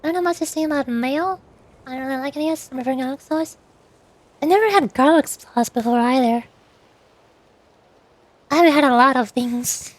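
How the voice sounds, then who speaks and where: High-pitched woman chatting on a stream. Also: no room sound. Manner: hesitant, halting, repeats words. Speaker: VTuber streamer. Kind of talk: casual gaming stream chat.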